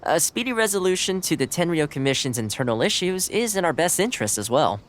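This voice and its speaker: An actor playing a friendly, even-tempered young retainer who calmly explains matters in a game scene. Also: male voice, on the high side, fast, a little hiss or hum. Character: friendly young male retainer, easygoing diplomat. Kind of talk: game cutscene voice acting. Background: game music.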